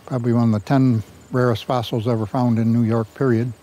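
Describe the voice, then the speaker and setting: An older man giving a garden tour outdoors, talking in a folksy, unhurried way on a lapel mic. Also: mid-range voice, flat delivery, warm tone. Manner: folksy, unhurried, chuckles at own jokes. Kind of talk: casual storytelling tour.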